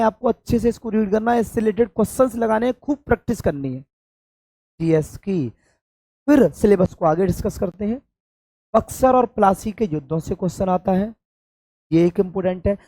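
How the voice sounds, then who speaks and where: A hoarse man from India, teaching an exam-prep lesson and stressing points by repeating them. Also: high-pitched, a little mellow, dry recording. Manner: addresses students affectionately, emphatic repetition. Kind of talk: classroom-style exam coaching lecture.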